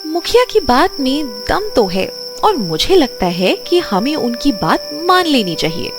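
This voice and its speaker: A woman's voice: sits high, sing-song, a little slow, over music, pitched above the performer's own voice. A woman voicing a pushy, money-minded village wife who nags persuasively in a cartoon story. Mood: urging. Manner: persuasive, nagging. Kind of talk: animated moral bedtime story.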